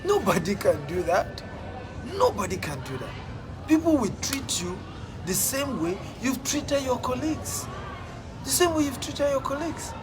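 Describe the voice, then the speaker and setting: A man's voice: very high-pitched, very animated, moderate pace, over music, a crowd in the background, poor audio. A man with a Nigerian accent giving a motivational talk, emphatic and repeating his phrases for effect. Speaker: motivational speaker.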